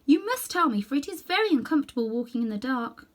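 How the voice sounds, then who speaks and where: Lady doing a polite little girl, earnest, higher pitched, storybook adventure. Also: sing-song, quick pace. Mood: tired, polite. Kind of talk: children's storybook character voice.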